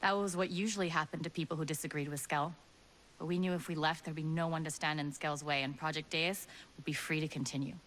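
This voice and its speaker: An actress playing a tough, sardonic rebel leader in a video game, wry and resolute. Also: female voice, sits low, steady tone, quick pace, a bit bright, slight background noise, poor audio. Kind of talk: video game cutscene acting.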